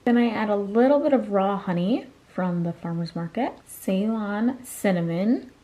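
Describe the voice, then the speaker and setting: A woman's voice: medium pitch, moderate pace, dull audio. Chatty young woman vlogging at home. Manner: chatty, relaxed, says "like" and "you guys" often. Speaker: lifestyle vlogger. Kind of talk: casual chat to camera, showing a routine. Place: her home, bedroom and kitchen.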